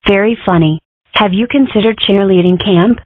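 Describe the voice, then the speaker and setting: A woman's voice: mid-range voice, normal speed, dry recording, phone-quality sound, close to an everyday voice. A woman voicing a judgmental, condescending animal schoolteacher in a cartoon comic dub, prim and pointed.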